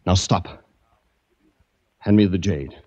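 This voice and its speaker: A man's voice: sits low, takes it slowly, a little mellow. An actor playing a cold, commanding gunman villain who barks short orders in a 1940s radio drama. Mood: threatening. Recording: muffled old-time radio recording.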